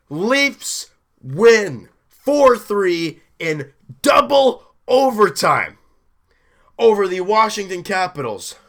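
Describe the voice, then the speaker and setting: A young man with a hoarse, roughened voice, excitedly recapping a hockey game in a rambling, self-interrupting way. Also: high voice. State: hoarse, deep rough voice after a late night. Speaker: hockey fan video host.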